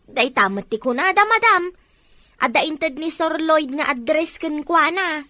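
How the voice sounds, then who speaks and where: Woman as high, bright-voiced young housemaid. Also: lively intonation, a little slow, no echo, exaggerated voice. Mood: deferential, wary. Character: deferential, anxious young housemaid. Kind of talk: Filipino Ilocano radio-style drama acting.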